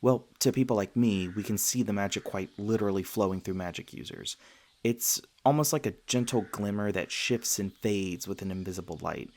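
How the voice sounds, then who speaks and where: A low-pitched, soft-hearted male vampire, played by a man, speaks closely to one listener in an ASMR roleplay. He is earnest and self-conscious, often hesitating or stammering as he gently explains himself. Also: moderate pace, natural voice. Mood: warm, admiring. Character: gentle, self-conscious male vampire, century old but youthful, sweetly flustered.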